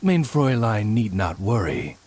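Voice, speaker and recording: A man's voice: lowish voice, sing-song, a little slow, a little mellow. A man playing a devoted raven familiar who speaks in a formal, stately, deferential way in an anime game. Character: courtly male raven familiar, devoted servant.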